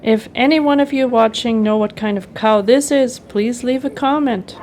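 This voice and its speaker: A woman's voice: fairly high pitch, moderate pace, a little mellow. A woman narrating her personal travel vlog in an enthusiastic, appreciative way. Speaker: travel vlogger.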